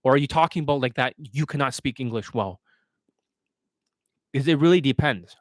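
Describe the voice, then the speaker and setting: A man's voice: mid-range voice, normal speed, no echo, clean studio sound. A man speaking as a dating coach on a livestream, answering questions in a blunt, instructional way with frequent rhetorical check-ins.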